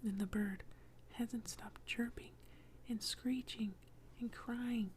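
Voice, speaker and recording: A woman telling an ASMR bedtime story in a hushed, breathy whisper, close to the microphone. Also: on the high side, steady tone, slow pace, slight background noise.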